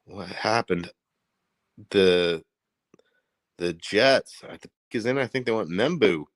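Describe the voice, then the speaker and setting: A man with an Indiana accent hosting a casual livestream, talking in a rambling, profane, excitable way. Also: on the low side, takes it slowly, no room sound. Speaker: livestream host.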